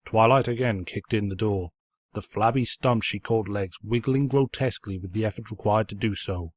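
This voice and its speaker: A man's voice: fairly low pitch, normal speed, no echo. A man reading fan fiction aloud as scripted story narration, in a pompous, snide storyteller's manner.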